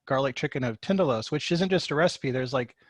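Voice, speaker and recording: A man's voice: medium pitch, animated, fast, dry recording, clean studio sound. A man hosting a podcast interview, asking questions in a rambling way with restarts. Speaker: podcast host.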